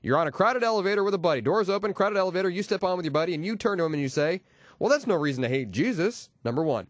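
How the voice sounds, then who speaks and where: A man's voice: high voice, expressive, rapid-fire, no echo. A man hosting a comedy radio show, delivering jokes and station patter in a punchy, wisecracking way on a close studio microphone. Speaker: radio host and DJ.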